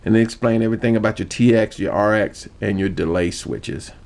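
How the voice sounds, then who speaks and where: A man giving an informal how-to demonstration, explaining step by step in a halting manner. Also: on the low side, steady tone, a little mellow. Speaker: hobbyist demonstrator. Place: indoor room.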